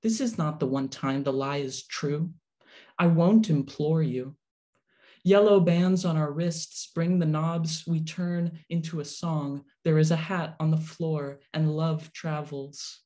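A woman poet reading poetry in a measured, deliberate manner over a video call. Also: deep voice, mellow. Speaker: poet reading own work. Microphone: video call microphone.

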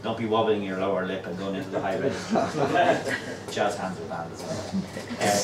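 A middle-aged man hosting a pub crawl, telling jokey stories to a crowd and mimicking people in quick asides. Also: deep voice, lively intonation, heavy background noise. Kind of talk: humorous storytelling to a pub crowd. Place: small crowded pub, indoors. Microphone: far camera, no mic. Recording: roomy pub echo, distant, chatter behind.

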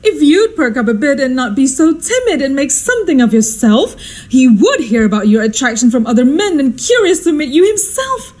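A woman's voice: high voice, very animated, moderate pace, hardly any pauses, a little mellow. A woman playing an imperious, easily outraged society hostess and mother in a radio drama, exclaiming and scolding.

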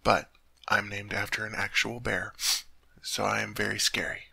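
A man's voice: fairly low pitch, flat delivery, moderate pace, vocal fry, pushed and bright, breathy edge, no room sound, heavily acted. A man playing a sweet, naive teddy bear turned human in an ASMR roleplay, chatting earnestly to the listener as he puzzles over human things. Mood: playfully indignant.

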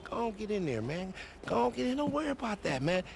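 A man's voice: sits high, sing-song, fast, gravelly, a little hiss or hum, exaggerated voice. A man playing a boastful, foul-mouthed young hustler in a video game cutscene, with an American accent and slangy, cajoling banter. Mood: pleading, upbeat.

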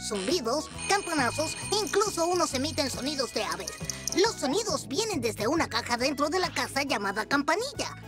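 A woman's voice: sits high, very animated, normal speed. A woman voicing a thoughtful, cautious female fixie who explains things carefully to children. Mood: explaining. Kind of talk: educational cartoon explainer. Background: loud children's music.

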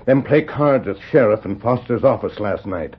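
Tonto, husky stoic scout. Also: male voice, fairly low pitch, expressive, moderate pace. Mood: matter-of-fact. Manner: clipped broken English, grunted short replies. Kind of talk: vintage radio western acting. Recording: dull vintage radio recording.

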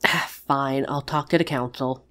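A woman's voice: rumbling low, fairly even intonation, a little slow, fairly bright tone. A woman playing a caring but exasperated witch in an audio roleplay, talking frankly and coaxingly to a friend. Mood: resigned. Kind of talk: dramatic audio roleplay acting.

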